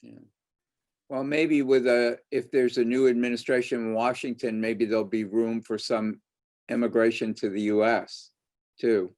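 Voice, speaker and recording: A man hosting an online panel discussion, talking in an animated, opinionated way and thinking aloud. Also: medium pitch, normal speed.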